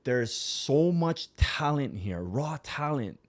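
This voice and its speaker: A man in his forties from Hawaii's Big Island, chats as a podcast guest. He sounds earnest and appreciative and fills his talk with many "you know"s. His voice has a middle pitch. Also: sing-song, slow pace. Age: 40s. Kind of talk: casual podcast chat.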